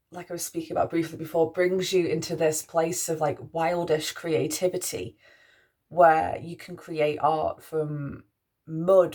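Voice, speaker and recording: A young woman with a Mancunian accent, a priestess talking softly through her spiritual values with frequent restarts. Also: deep voice. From Manchester, England. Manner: musing, soft-spoken, with frequent restarts. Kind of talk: spoken reflection on spiritual values.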